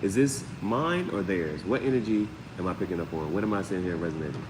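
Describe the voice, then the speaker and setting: Man, low-pitched voice, casual tarot host, slangy, repeats phrases, echoing room, noticeable background noise. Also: brisk. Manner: repeats phrases, peppers talk with slang and sound words. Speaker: tarot reader, host of a live reading show. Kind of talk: casual live tarot reading, spoken off the cuff. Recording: roomy long reverb, clear steady background noise.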